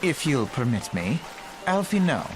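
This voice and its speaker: An actor playing a polite, precocious scholarly youth in a video game, speaking formally with courteous, slightly pompous self-assurance. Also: male voice, mid-range voice, big pitch swings, measured pace, background noise. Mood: courteous, self-assured. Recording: music playing behind the voice.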